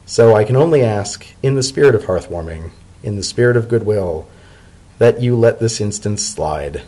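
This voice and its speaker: An earnest pony statesman voiced by a man speaks in formal, measured phrases. His low, husky voice rises and falls expressively, thoughtful and courteous, as in a dramatic storybook reading. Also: lively intonation, warm tone. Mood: apologetic, strained. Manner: formal, measured, sincere speech. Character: earnest, polite male pony civil servant, thoughtful diplomat.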